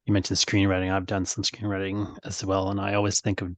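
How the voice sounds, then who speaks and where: A man's voice: sits low, completely flat delivery, quick pace, no echo. A man hosting a podcast interview in a casual conversational way, circling discursively toward his questions. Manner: discursive, rambling build-up to questions.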